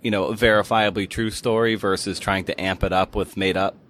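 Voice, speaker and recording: A man's voice: low voice, fairly even intonation, a little fast, a bit bright. A man hosting a podcast interview in casual chat, with informal, roundabout phrasing as he works toward his questions.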